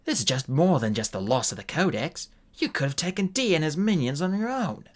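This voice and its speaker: A man's voice: very animated, fairly quick. A man reading aloud and voicing a fierce, ancient female warrior who talks bluntly and demandingly. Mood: accusing. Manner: blunt, demanding, rises sharply in pitch.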